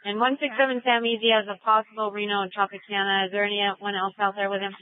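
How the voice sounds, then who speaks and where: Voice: mid-range voice, little pitch movement, fast, clear, resonant tone, dry recording. A police dispatcher relaying calls in clipped radio phrases over a police radio channel. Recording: narrow tinny dispatch radio.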